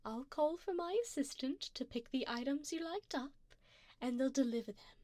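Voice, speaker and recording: Woman doing an ancient vampire sugar mommy, asmr roleplay, breathy whispers, kinda high voice, real slow, doting and seductive. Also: a little mellow, no room sound. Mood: doting, soothing. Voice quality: breathy, often dropping into whispers. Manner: slow, intimate, formal and coaxing. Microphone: close binaural microphone.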